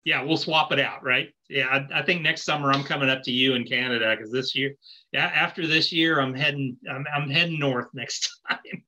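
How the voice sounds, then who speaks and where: A man's voice: medium pitch, moderate pace, sharp tone, low-quality recording. A man hosting a podcast, introducing his guest in an upbeat, folksy way with many fillers. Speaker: podcast host.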